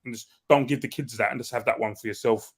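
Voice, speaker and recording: A male co-host bantering in quick, jokey casual chat over a video call. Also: medium pitch, fairly even intonation, slightly rough.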